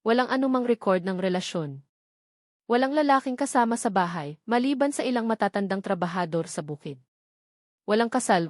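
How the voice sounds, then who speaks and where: A Filipino woman reads a dramatic story as its narrator. Her voice sits at an ordinary pitch for a woman. The sound is close and dry, with no room on it. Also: a little mellow, dry recording.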